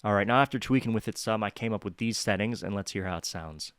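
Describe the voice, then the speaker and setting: A man's voice: on the low side, fast. A man hosting a music production tutorial, talking casually and directly to viewers in an upbeat, chatty way.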